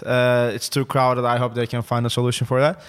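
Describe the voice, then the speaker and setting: A man's voice: medium pitch, level tone, fairly quick, clear, resonant tone. A young man from Turkey with a Turkish accent, chatting casually as a podcast guest, full of fillers and restarts. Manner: rambling, many fillers and restarts. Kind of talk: casual interview chat.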